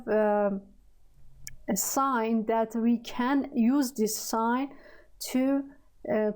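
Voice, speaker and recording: A woman with a Persian accent, a native Persian speaker, teaching a coding lesson in halting, hesitant speech full of fillers. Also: a little high, darkish tone, clean tone. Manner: halting, searching for words, many fillers. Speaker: programming tutor. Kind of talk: screen-recorded coding tutorial.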